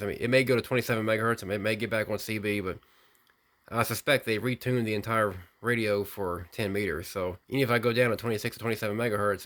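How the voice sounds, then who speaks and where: A man giving an unscripted hands-on product demonstration, rambling technically and thinking out loud as he hosts a hobby video. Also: fairly low pitch. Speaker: radio hobbyist video host.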